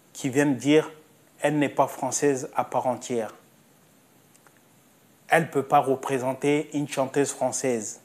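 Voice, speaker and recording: A man's voice: mid-range voice, darkish tone. A man delivering a spoken opinion monologue, insistent, repeating himself and asking rhetorical questions. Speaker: commentator.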